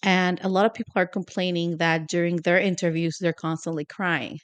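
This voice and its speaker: A woman's voice: fairly low pitch, fairly even intonation, fairly quick, clear, resonant tone, dry recording. A woman co-hosting a podcast in casual, opinionated chat, often asking "you know?". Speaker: podcast co-host.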